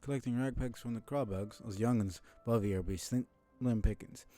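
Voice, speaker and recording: A man voicing a gruff, grateful tavern keeper in a video game, with folksy, old-fashioned phrasing. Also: mid-range voice, fairly quick, mellow, soft background music, lightly acted. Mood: instructing.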